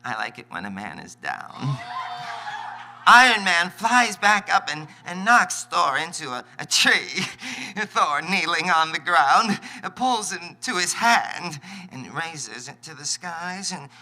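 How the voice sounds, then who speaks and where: A man voicing a campy, saucy, excitable cartoon narrator reading action in a comic live radio play. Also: on the high side, slow pace, exaggerated voice. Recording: live convention stage.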